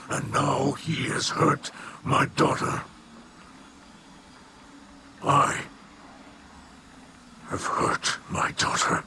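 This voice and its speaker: An actor playing a grave, proud male alien warrior leader in a video game, solemn and halting, with a breathy rasp. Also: mid-range voice. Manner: solemn, halting, formal speech. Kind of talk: video game dialogue acting. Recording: noisy background ambience.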